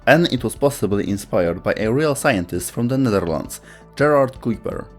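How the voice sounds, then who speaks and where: A man's voice: medium pitch, animated, moderate pace. Man narrating a scripted explainer over music. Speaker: video narrator.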